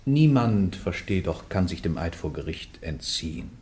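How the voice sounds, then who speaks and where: A fairly low voice plays a learned church examiner in a radio drama. He is insistent and urging, a lawyer used to pressing for answers. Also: big pitch swings, normal speed, darkish tone. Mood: stern, pressing. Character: insistent learned church interrogator, doctor of canon law. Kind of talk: German radio play acting.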